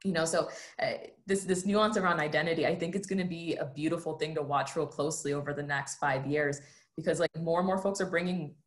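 Woman, low voice, video-call panelist, earnest, reflective, talks with her hands. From United States. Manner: earnest, reflective, often tags "right?".

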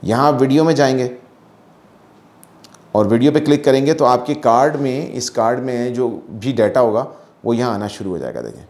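A man's voice: medium pitch, expressive, moderate pace, a little mellow. Echoey Pakistani man demonstrating gadgets. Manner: explanatory, mixes in English phrases. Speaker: product reviewer. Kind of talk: casual hands-on gadget demonstration. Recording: echoey room.

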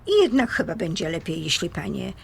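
A woman's voice: fairly low pitch, big pitch swings, a little fast, creaky, a little hiss or hum. An actress playing a proud, composed woman defending her home in an audio drama, formal, firm and icily polite. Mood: icy, firm.

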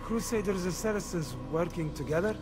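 Guy playing loyal careful assassin informant, highish voice, earnest, music under him. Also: brisk, lightly acted. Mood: earnest counsel. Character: cautious, loyal male assassin bureau keeper. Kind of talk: dramatic video game cutscene acting.

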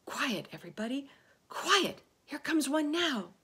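A woman voicing a brave, bossy young boy in a storybook, urgent, moving between hushed orders and shouts. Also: high voice, big pitch swings, unhurried, voice pushed up, full character voice. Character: brave, commanding young boy leading animal friends.

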